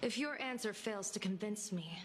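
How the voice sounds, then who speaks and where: A woman's voice: medium pitch, animated, moderate pace, noisy background, close to an everyday voice. A woman voicing a solemn, stern diviner in a video game, with formal, archaic wording. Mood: stern, threatening.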